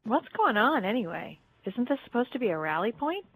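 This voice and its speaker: A woman's voice: on the high side, very animated, brisk, breathy edge, heavily acted, low-quality recording. A woman playing an earnest, plucky young female alien in a sci-fi game audio drama, a little unsure of herself. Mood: puzzled. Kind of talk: fan audio drama, game-story character acting.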